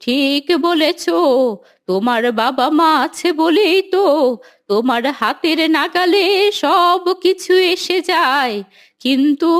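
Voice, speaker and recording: A woman voicing a kindly, patient grandmother who gently admonishes her grandson in a moral cartoon. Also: high-pitched, full character voice. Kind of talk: Islamic moral cartoon dialogue.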